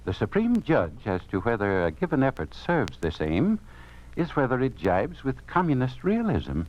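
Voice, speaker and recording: Pompous communist censor, muffled old radio. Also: male voice, medium pitch, huge pitch swings, normal speed. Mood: stern, doctrinaire. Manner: pompous, formal, politely menacing. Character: smug, cold communist state censor, a man. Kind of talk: 1950s radio drama acting.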